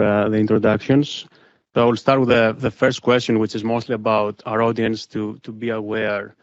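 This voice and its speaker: A man's voice: medium pitch, no echo, lo-fi sound. A man moderating a panel, putting the first question to the speakers in a formal way.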